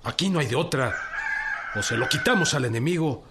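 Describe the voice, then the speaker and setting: An actor with a Mexican accent playing a gruff, defiant peasant revolutionary general in a radio drama, barking blunt orders. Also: male voice, medium pitch, lively intonation, a little fast, heavy background noise, natural voice. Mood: defiant, stern. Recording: loud rural sound effects behind.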